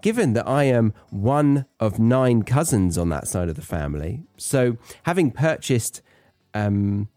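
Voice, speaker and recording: Male British podcast host, low-pitched and animated, wry conversational teacher, close dry sound. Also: no room sound. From Britain. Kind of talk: chatty educational podcast monologue.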